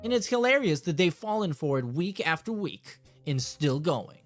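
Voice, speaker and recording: A man's voice: on the high side, big pitch swings, moderate pace, soft background music. A man hosting a reaction stream, reading messages aloud and commenting casually in a sardonic, dismissive, sweary way.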